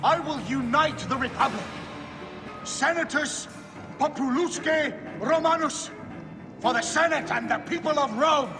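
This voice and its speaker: An actor playing a proud, grandiose Roman dictator in a theatrical game cutscene, commanding and declamatory. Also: male voice, very high-pitched, measured pace, long pauses. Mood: grand, commanding. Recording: echoing great hall, music underneath.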